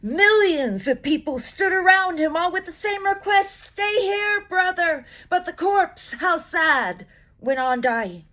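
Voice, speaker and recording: A woman reciting poetry dramatically, with an expressive, measured delivery and deliberate pauses between phrases. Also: very high-pitched. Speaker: poetry reader.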